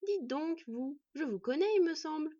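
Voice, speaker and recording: A woman's voice: high voice, animated, moderate pace, warm tone, no room sound, pitched above the performer's own voice. A woman voicing a cheerful, cocky and mocking teenage half-elf boy in a storybook, eager and taunting. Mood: gleeful, mocking. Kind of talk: storybook character voice. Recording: dull, slightly distant microphone.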